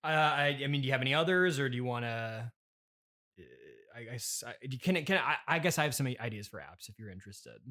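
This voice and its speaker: A man's voice: mid-range voice, lively intonation, fairly quick, bright, forceful tone. A man hosting a casual improvised comedy interview, stammering and hesitant, with a politely incredulous manner. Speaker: podcast host interviewing a guest.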